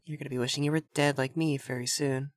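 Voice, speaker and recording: A man's voice: mid-range voice, little pitch movement, fast talker, slight background noise, natural voice. A man voicing a haughty, flustered, effeminate vampire lord in a scripted ASMR roleplay, prim and bossy in manner. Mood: encouraging, teasing.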